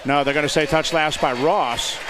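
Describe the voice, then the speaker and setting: An American man doing live play-by-play commentary of high school basketball in clipped, descriptive phrases. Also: normal speed. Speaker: play-by-play announcer. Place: indoor high school gymnasium. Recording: noisy gym with loud crowd. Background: crowd noise and bouncing basketballs.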